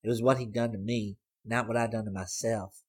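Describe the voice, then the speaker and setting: A man's voice: fairly low pitch, fairly even intonation, a little fast, breathy edge. A man voicing a brash, teasing teenage girl in an audiobook, speaking bluntly and cockily. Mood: brazen. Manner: blunt, artless, cocky. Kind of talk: audiobook character voice.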